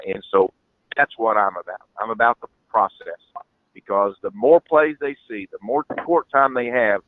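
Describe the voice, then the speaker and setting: A man's voice: lowish voice, fairly bright tone, poor audio. A middle-aged or older man answering in a group interview on a conference call, emphatic and folksy, driving points home by repetition. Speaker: officiating coordinator, interview guest.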